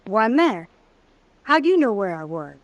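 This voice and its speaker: A woman's voice: mid-range voice, very animated, moderate pace, lo-fi sound, slight background noise, close to an everyday voice. A woman playing a tough, guarded drifter in an old-time radio western, with a terse, probing way of talking. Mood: wary, wistful. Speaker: radio drama actress. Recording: vintage radio drama recording.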